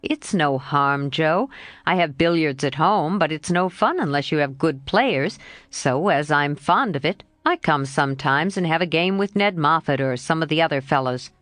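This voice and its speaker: A woman voicing a cheerful, teasing young man in a slightly lowered, coaxing voice. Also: expressive, normal speed, a bit bright, dry recording. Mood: defensive. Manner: lightly lowered, coaxing, playful.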